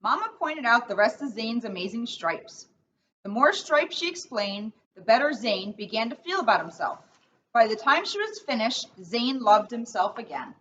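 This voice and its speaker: A woman's voice: on the high side, big pitch swings, normal speed, a bit bright. Distant, muffled woman addressing children. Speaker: police school resource officer reading children's stories. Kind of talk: storybook read-aloud and casual talk to children. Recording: muffled, faintly noisy, distant microphone.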